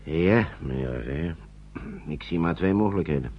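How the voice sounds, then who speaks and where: An actor playing an earnest, persuasive man protecting children in wartime, speaking deliberately in a Dutch radio play. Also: very deep voice, expressive, a little hiss or hum. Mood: earnest, measured. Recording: dull old radio play recording.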